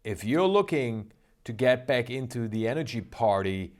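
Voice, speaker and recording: A man's voice: medium pitch, lively intonation, normal speed. A man hosting a stock trading show, talking in an upbeat, emphatic, salesy way. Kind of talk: energetic market commentary.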